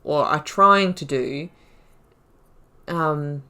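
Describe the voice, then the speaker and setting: A woman with a low voice narrates her video game playthrough casually. She thinks aloud, reflective, and reads dialogue choices in character. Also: very slow, sharp tone. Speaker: gaming YouTuber. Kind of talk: casual let's-play commentary, reading dialogue options aloud.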